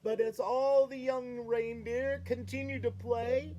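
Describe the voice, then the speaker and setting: A man's voice: very high-pitched, level tone, takes it slowly, dark tone, lo-fi sound. A man reading a children's picture book aloud, playfully talking to his young audience.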